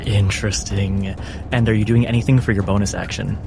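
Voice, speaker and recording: A man's voice: lowish voice, fairly even intonation, a little fast, creaky, over loud music. A man narrating as game master, describing the scene and calling turns in a tabletop role-playing game.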